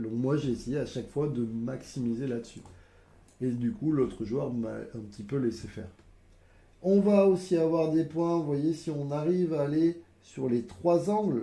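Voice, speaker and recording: A man's voice: mid-range voice, warm tone, reverberant. A man with a cold, explaining board game rules casually to viewers, hesitant and full of fillers. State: has a cold.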